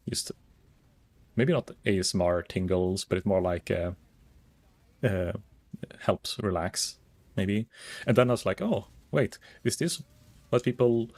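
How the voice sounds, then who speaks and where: A calm, soft-spoken man chatting casually while streaming a game, rambling and thinking aloud with many fillers. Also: fairly low pitch. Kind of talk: casual gaming stream chat.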